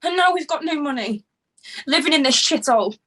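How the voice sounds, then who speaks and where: A woman's voice: high voice, expressive, fairly quick, fairly bright tone. A woman playing a fierce, fed-up partner in a screenplay table read, emphatic and confrontational. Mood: furious, hurt.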